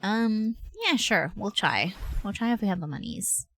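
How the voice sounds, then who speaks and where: A woman's voice: medium pitch, lively intonation, normal speed, fairly bright tone. A woman livestreaming a game with casual, playful, slangy commentary, chatting to herself and to her viewers.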